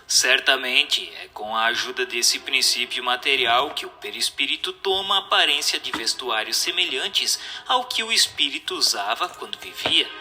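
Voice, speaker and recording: A man giving a scripted, dramatized reading as a narrator, in a formal, didactic manner with deliberate phrasing. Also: mid-range voice, lively intonation, bright, forceful tone, faint music behind. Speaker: narrator reading a spiritist book.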